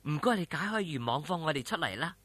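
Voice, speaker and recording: Man as a hushed, clever young heroine. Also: fairly high pitch, lively intonation, fast talker, no room sound. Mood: coaxing. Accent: Hong Kong Cantonese.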